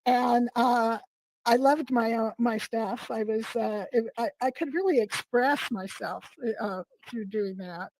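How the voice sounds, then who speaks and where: Hoarse woman with a cold. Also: fairly high pitch, unhurried, darkish tone, slight background noise, poor audio. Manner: rambling, many fillers and restarts. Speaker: guest artist. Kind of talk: casual interview chat.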